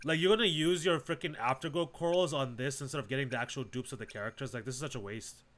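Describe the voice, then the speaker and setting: A man's voice: mid-range voice, fast, fairly bright tone. A man livestreaming a game, chatting casually with viewers in slangy, repetitive, think-aloud commentary. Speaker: game streamer. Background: game music.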